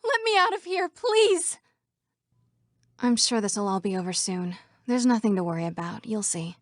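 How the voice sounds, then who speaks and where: A young woman voicing an earnest female computer program citizen in a video game, with crisp, dramatic delivery. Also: on the high side, expressive, moderate pace, dry recording, clean studio sound, close to an everyday voice. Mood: panicked.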